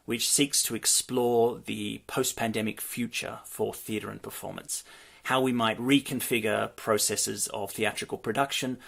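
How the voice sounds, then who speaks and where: A man from Australia introduces himself in a measured, formal way. His voice sits low and has a gravelly edge. He speaks as a theatre lecturer presenting his curatorial role. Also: a bit bright. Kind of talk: prepared self-introduction.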